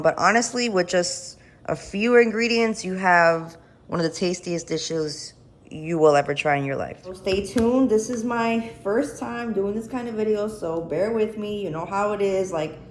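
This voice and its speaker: An American woman hosting a cooking vlog, chatting casually and informally to camera with plenty of swearing. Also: lowish voice. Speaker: cooking and chat vlog host. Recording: echoey room, faint background noise.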